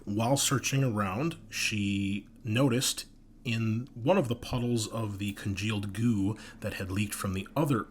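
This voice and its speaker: Low-voiced man narrating a tabletop game. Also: fairly bright tone. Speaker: game master and host. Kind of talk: recapping a tabletop roleplaying game session in narration. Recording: clear background noise.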